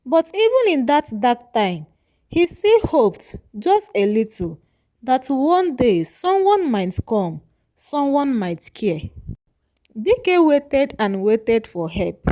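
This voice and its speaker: Slow, lilting woman storyteller. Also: sits high, very animated, mellow. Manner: measured, moralizing storytelling. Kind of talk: scripted folktale narration.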